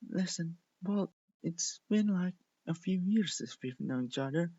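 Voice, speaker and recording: Guy doing a playful girly pony prankster for a story, pitched up, teasing, kinda lofi. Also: expressive, a little slow, warm tone, husky, no room sound, lo-fi sound, exaggerated voice. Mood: bashful, hesitant. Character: playful young female pony prankster, bold yet bashful.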